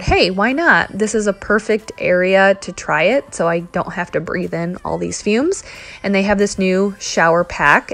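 A woman in her thirties chatting through a home cleaning vlog voice-over, talking straight to her viewers. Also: mid-range voice. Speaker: cleaning vlogger. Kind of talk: casual voice-over narration.

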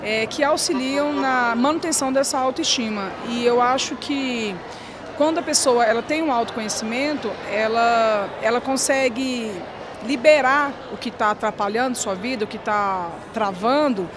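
A Brazilian woman speaks in a fairly high voice, answering a TV interview. Her phrases come haltingly, with pauses in the middle. A handheld mic picks her up in a large hall with clear background noise. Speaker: guest speaker being interviewed. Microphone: handheld reporter microphone.

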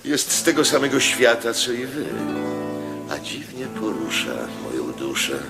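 An actor playing an aging Roman proconsul who speaks in a lofty, brooding, theatrical way in a radio play. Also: male voice, mid-range voice, big pitch swings, unhurried, loud music behind the voice. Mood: musing. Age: older. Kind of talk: 1980s-style radio drama.

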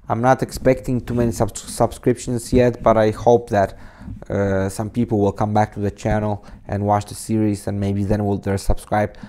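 A man's voice: on the low side, dark tone. A man in his twenties from Poland, Polish native, hosting a casual coding tutorial over a screen recording, rambling with many restarts and fillers into a cheap desk microphone. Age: 20s. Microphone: cheap desk microphone on a laptop.

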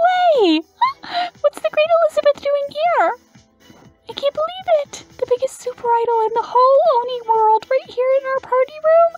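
A woman voicing an excitable little sparrow, a gushing idol fan in a fantasy game. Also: extremely high pitch, highs cut off, pitched above the performer's own voice. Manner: squealing, gushing.

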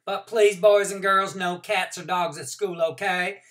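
A woman voicing a brisk, firm but kind female schoolteacher making clear classroom announcements. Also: lowish voice, steady tone, pitched above the performer's own voice, lightly acted. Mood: announcing.

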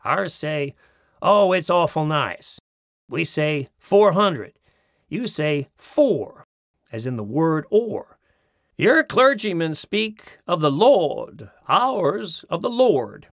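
Guy reading a funny essay, raspy voice, bouncy pitch, does little bits. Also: a bit bright, no echo. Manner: mimics accents in quoted phrases, dry wit. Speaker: audiobook narrator. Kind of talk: expressive reading of a humorous essay. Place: studio. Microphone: close studio mic.

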